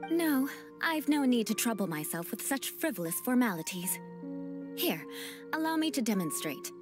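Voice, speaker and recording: A proud young noblewoman of a fallen aristocratic family in a fantasy game, speaking in stiff, formal, lecturing phrases. Her voice is fairly high with a lively, rising and falling lilt, over loud game music. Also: moderate pace.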